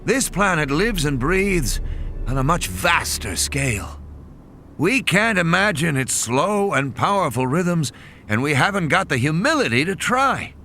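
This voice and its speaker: A man's voice: on the high side, sing-song, unhurried, bright, forceful tone, a little hiss or hum, full character voice. A man voicing a weary, cynical scientist who lectures with scorn in emphatic, dramatic phrases for an audio play. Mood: scornful, grave.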